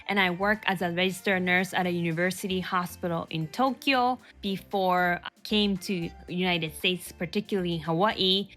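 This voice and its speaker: Japanese-accented lady, middle-aged, upbeat earnest career advice, soft music underneath. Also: fairly low pitch. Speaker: nurse practitioner and career mentor, host. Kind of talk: advice talk to camera.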